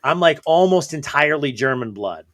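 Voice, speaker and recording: A man hosting a podcast, chatting in a relaxed, friendly way. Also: fairly high pitch, normal speed. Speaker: podcast host. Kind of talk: casual podcast conversation.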